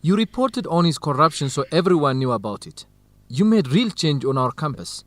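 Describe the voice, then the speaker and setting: A man's voice: fairly high pitch, very animated, normal speed, no room sound. An actor playing an earnest young male university student in love, speaking carefully in a radio drama for English learners. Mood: sincere, determined.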